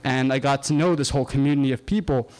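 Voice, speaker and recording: A young man from Japan telling a casual personal story to an audience, halting often on uh and um. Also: mid-range voice, steady tone, darkish tone, poor audio. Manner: halting, full of uh and um, chatty and wandering.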